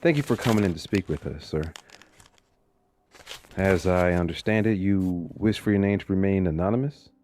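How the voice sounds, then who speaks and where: A man's voice: low voice, moderate pace, a little mellow, a little hiss or hum, natural voice. An actor playing a driven, weary police detective and family man in a suspense audio drama, earnest in manner. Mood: businesslike.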